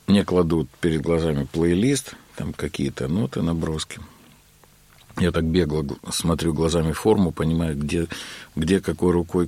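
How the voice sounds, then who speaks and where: A man being interviewed about his work as a keyboard player, telling his story in an unhurried way with many filler words. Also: a bass voice, husky, no echo.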